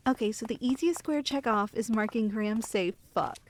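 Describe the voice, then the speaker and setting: A woman's voice: on the high side, normal speed, slight background noise, natural voice. A young woman playing an affectionate, chatty girlfriend who reassures her partner in an intimate ASMR roleplay. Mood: affectionate, excited. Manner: playful, reassuring, storytelling to one listener. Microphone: close studio mic.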